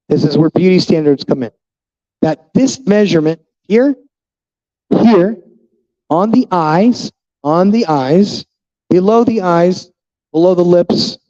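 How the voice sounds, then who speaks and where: A fifty-year-old man rants straight at his viewers in a casual vlog, blunt and quick to swear. His voice sits a bit high but sounds dark and mellow. The recording is dull and lo-fi. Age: 50s. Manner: blunt, profane, ranting. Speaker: vlogger.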